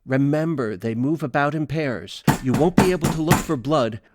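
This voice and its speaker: A man's voice: on the high side, moderate pace, heavy background noise, natural voice. A man playing an anxious, protective man in a radio play, earnest and wordy as he cautions. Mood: urgent warning. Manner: urgent, earnest, wordy explanations.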